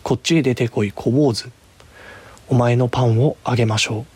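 Storybook small child, read by a man, fond and coaxing, husky tone. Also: mid-range voice, steady tone, normal speed, darkish tone. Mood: coaxing, affectionate. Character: small child fondly calling a pet snake.

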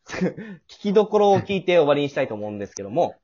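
A man's voice: a little high, very animated, fast, warm tone, husky. A man hosting a casual cast chat in a teasing, jokey manner. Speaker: host of a radio drama cast talk. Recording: muffled lo-fi audio.

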